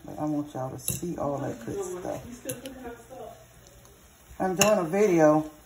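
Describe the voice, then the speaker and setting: Hoarse woman cooking on camera. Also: low-pitched, takes it slowly, background noise. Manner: chatty, talks to viewers as y'all, savoring mm sounds. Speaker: cooking vlogger. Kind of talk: casual cooking vlog with tasting. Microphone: phone or camera mic, recording alone in a kitchen.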